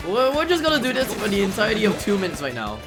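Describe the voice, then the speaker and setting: A man playing a video game live and commentating on it casually in a jokey, excitable way as a speedrunner. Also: high voice, racing. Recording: game music behind the voice. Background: video game music.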